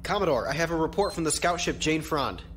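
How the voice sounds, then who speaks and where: A man's voice: fairly high pitch, expressive, quick pace, a bit bright, slight background noise. A man playing an eager, deferential junior lieutenant in an audio drama, somewhat tentative in delivery. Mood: puzzled.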